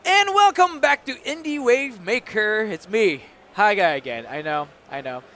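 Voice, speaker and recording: An animated, high-pitched man from Japan hosts a casual interview at a gaming convention. His voice is bright and forceful, and he plays things up with exclamations and playful hamming. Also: unhurried, faint music behind. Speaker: event showcase host. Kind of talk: lively casual on-stage interviewing.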